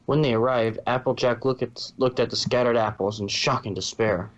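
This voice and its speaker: A man's voice: mid-range voice, fairly even intonation, normal speed, muffled recording. A man reading a story aloud as its narrator. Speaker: fan fiction reader.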